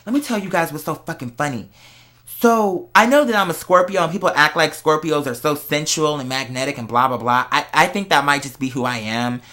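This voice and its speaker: A vlog host chatting casually and candidly to viewers, telling stories in an animated, slangy way. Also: medium pitch, brisk. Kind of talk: casual confessional chat to viewers.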